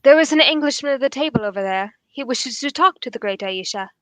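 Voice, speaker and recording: A woman playing a calm, courteous male detective in a formal, measured radio drama style. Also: high-pitched, dry recording. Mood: discreet, obliging. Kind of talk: 1940s radio drama acting.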